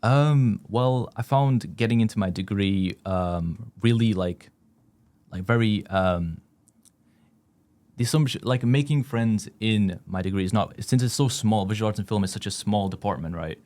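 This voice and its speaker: A man's voice: lowish voice, normal speed, darkish tone. A young man from West Yorkshire, a first-year student chatting casually and rambling with many fillers and restarts. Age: young adult. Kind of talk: casual chat.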